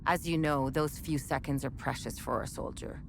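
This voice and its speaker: An actress playing a chatty, wry military research scientist in a video game, explaining things in a measured, conversational way. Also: female voice, extremely low pitch, steady tone, vocal fry, background noise. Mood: matter-of-fact. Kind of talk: scripted game dialogue, conversational exposition.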